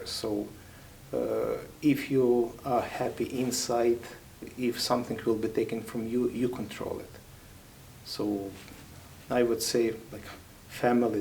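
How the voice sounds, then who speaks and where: A man in his thirties with a Ukrainian accent, a chaplain giving thoughtful, halting interview answers with many pauses. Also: lowish voice, a little hiss or hum, poor audio.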